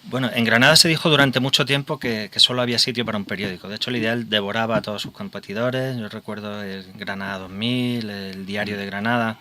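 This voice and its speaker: Male radio host, low-pitched and bright, calm questioner. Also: slight background noise. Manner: conversational, measured questions. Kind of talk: radio interview. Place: local radio studio.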